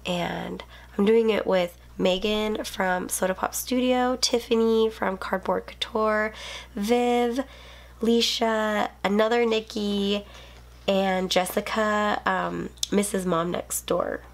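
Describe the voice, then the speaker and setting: A woman's voice: mid-range voice, steady tone, slow pace, vocal fry. A young woman, a planner YouTuber and mom, chatting casually at home while filming her desk from overhead, a bit sniffly and rambling with lots of ums. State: sniffly, unwell. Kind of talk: casual plan-with-me chat. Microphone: overhead camera, hands only.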